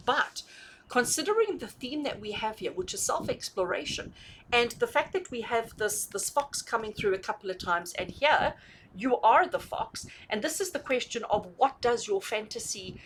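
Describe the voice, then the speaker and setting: A woman's voice: fairly high pitch, low-quality recording. Chatty tarot reader lady, really up-and-down delivery, keeps rephrasing and asking questions, bit of noise behind her. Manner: emphatic, repeats and rephrases points, often checks in with rhetorical questions. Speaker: tarot reader hosting a reading. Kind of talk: conversational, intuitive tarot reading addressed to viewers.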